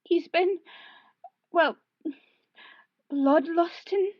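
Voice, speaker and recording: A woman's voice: extremely high pitch, no echo, heavily acted. A woman playing a nervous, hesitant young female caller worried about her boyfriend in a comic radio play. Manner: hesitant, halting. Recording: dull lo-fi caller line.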